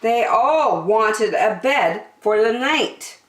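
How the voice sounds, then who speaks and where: A woman reading a children's story aloud expressively, with deliberate, dramatic phrasing. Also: on the high side, animated, a bit bright, echoey room, poor audio.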